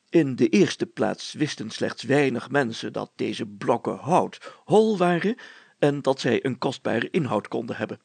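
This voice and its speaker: Wanted: a man to play a pompous, husky-voiced storybook mayor, older, fussy, hesitant and stammering, recorded close and dry. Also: medium pitch, darkish tone, dry recording, full character voice. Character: fussy, flustered, pompous older male mayor reading aloud. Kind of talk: children's audio story character voice.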